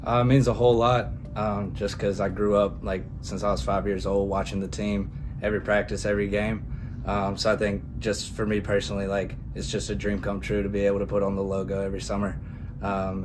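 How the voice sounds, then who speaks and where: Young man, fast monotone delivery, lowish voice, rumbling noise behind. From Clinton, Mississippi. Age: young adult. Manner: earnest, heartfelt, quick talk. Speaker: soccer player.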